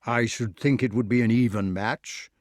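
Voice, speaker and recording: Audiobook part: calm, knowing elderly magician, read by a man, mid-pitched, stately and dry. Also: moderate pace, no echo, lightly acted. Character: composed, mysterious old male wizard. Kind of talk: audiobook character voice.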